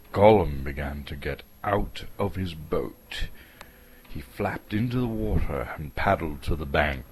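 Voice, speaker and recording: A man's voice: low-pitched, a little hiss or hum. A man from Canada giving a dramatic storybook narration as the narrator.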